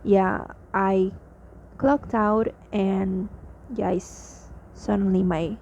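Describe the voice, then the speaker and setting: A woman's voice: mid-range voice, steady tone, slow pace, darkish tone. Tired young woman with a Mexican accent. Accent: Mexican Spanish. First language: Spanish. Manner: rambling, hesitant, many restarts and fillers. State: tired, drained. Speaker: vlogger. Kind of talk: casual spoken diary. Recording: slightly dull lo-fi audio with faint noise.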